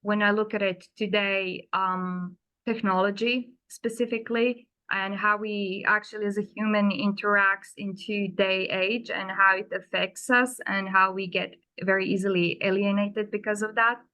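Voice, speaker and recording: Earnest woman with a bright, clear voice. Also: mid-range voice, little pitch movement, moderate pace, poor audio. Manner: earnest, searching for words. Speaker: panelist, theatre maker. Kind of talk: round-table webinar discussion.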